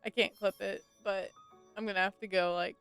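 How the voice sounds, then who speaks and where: A woman's voice: mid-range voice, fairly even intonation, moderate pace, a bit bright, clear, resonant tone. A woman chatting casually and enthusiastically as she streams a game. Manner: gushing, enthusiastic. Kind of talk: casual livestream gaming chat. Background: game music.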